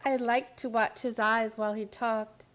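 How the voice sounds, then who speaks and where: A very high-pitched female voice in a radio play. An actress plays an earnest, troubled schoolteacher who confides intensely and pleads to be believed. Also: level tone, normal speed, a bit bright, natural voice. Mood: confiding, wistful. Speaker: narrator and lead character of radio play. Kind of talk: radio drama acting.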